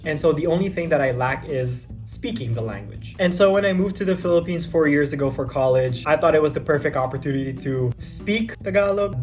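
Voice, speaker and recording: Young man, twenties, casual chatty vlogger, a touch high-pitched, faint music bed. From Dubai. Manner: chatty, rambling, self-correcting. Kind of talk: casual talking to camera.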